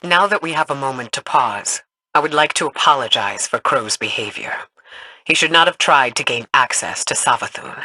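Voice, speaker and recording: An actress playing a cautious, scholarly adviser in a sci-fi video game, measured, polite and analytical. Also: female voice, very deep voice, expressive, sharp tone, a little hiss or hum, full character voice, poor audio. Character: thoughtful, cautious, courteous scholarly adviser in space fantasy.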